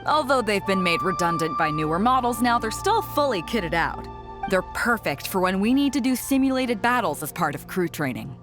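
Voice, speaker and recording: A woman's voice: mid-range voice, very animated, moderate pace, over music, lightly acted. A woman voicing a bold, confident captain of an armed pirate fleet in a video game, with a commanding, teasing manner. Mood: teasing, assured. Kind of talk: video game character acting.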